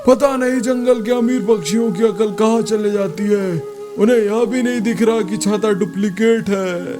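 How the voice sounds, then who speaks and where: Man voicing a very high-pitched sardonic cartoon bird. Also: moderate pace, music in the background, close to an everyday voice. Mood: suspicious. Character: sharp-tongued bird busybody warning others. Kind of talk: Hindi children's cartoon dubbing.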